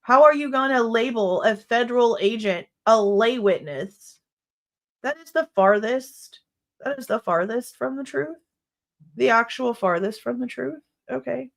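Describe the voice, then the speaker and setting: A woman's voice: on the high side, unhurried, gravelly. A young woman with a stuffed-up nose, hosting a true crime livestream and reading a court brief aloud. She breaks off into blunt, exasperated remarks with mild swearing.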